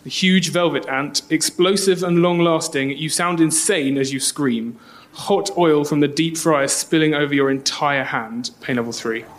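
A man's voice: fairly high pitch, normal speed, fairly bright tone. A man giving a dramatic reading of short poetic descriptions at a live event.

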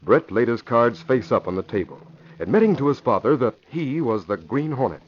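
A man's voice: medium pitch, sing-song, a little fast, a little hiss or hum. A man narrating a 1940s radio drama as announcer in a dramatic, declamatory manner. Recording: muffled vintage radio recording.

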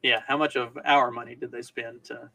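A man's voice: mid-range voice, little pitch movement, normal speed, creaky, bright, forceful tone, no echo. A man co-hosting a podcast, talking through the news casually and wryly, often repeating phrases for emphasis. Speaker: podcast co-host.

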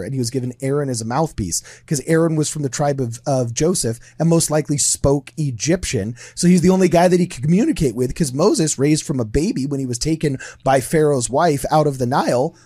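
A man co-hosting a podcast, retelling a story in an animated way and teasing his co-host. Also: mid-range voice, husky, no echo, clean studio sound. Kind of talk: casual podcast chat.

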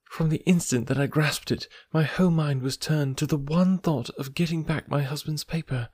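A man reading an audiobook, voicing an anxious, noble young woman making a confession in a measured, softened way. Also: fairly high pitch, slightly rough, dry recording, pitched above the performer's own voice. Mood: distressed. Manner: earnest, measured, softened delivery.

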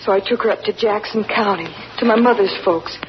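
An actress playing an earnest, remorseful young country woman who speaks softly and stammers. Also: medium pitch, a little fast, natural voice. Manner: hesitant, stammering, soft-spoken. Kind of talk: 1940s radio acting. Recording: dull 1940s radio broadcast, faint hiss.

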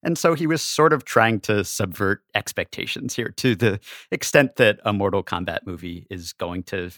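A man's voice: lowish voice, animated, normal speed, a bit bright, no echo, studio quality. A man speaking as a guest in a conversational movie discussion, articulate and analytical, in long flowing sentences. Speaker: podcast guest, staff writer.

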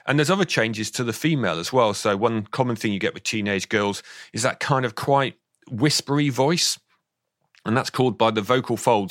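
A man in his fifties with a slightly croaky voice, explaining thoughtfully as an interview guest, often restarting his sentences. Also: fairly low pitch, fairly bright tone, no room sound, clean studio sound. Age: 50s. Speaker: author and guest. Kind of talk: interview on voice science.

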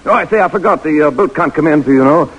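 A weathered English mercenary with a husky voice. He speaks in clipped, dryly understated phrases, as in an old 1940s radio play. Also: on the high side, quick pace, highs cut off. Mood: brisk, nonchalant. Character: wry, world-weary English soldier of fortune in exile. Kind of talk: 1940s radio drama acting.

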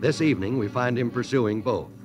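A man's voice: mid-range voice, brisk, over music. A man announcing and reading ads in a polished, emphatic 1940s radio style.

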